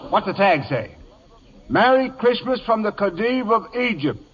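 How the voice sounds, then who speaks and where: An actor playing a brash, impish comic sidekick, clownish and flippant, in 1940s radio comedy style. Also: male voice, high voice, sing-song, measured pace. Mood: wisecracking. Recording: muffled old radio broadcast.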